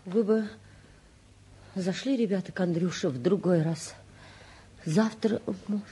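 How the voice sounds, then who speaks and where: A woman's voice: mid-range voice, measured pace, warm tone, a little hiss or hum, close to an everyday voice. An actress playing an anxious, reproachful middle-aged mother in a 1950s Soviet radio play, with a fretful, theatrical delivery. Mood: polite.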